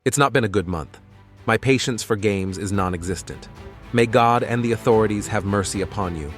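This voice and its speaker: A man acting a priest who commands a starship in a sci-fi audio drama. His voice sits low. He speaks calmly and firmly, in short deliberate lines, with stubborn resolve. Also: faint music behind, natural voice. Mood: firm, defiant. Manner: terse, firm, deliberate.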